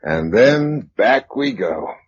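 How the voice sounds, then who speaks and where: A man's voice: medium pitch, very animated, slow, breathy edge, full character voice. An actor playing a practical, dry young British man in a radio drama, speaking in a clipped, matter-of-fact way. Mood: dry, easy. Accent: British English. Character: practical, dry young Englishman surviving a catastrophe. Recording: muffled radio drama recording, faint noise.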